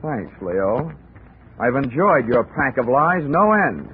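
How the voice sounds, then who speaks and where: An actor playing a wisecracking hard-boiled insurance detective who narrates and speaks in a dry, sardonic way, in 1940s radio drama style. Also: male voice, medium pitch, sing-song, slow, slight background noise. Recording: tinny old radio broadcast with music.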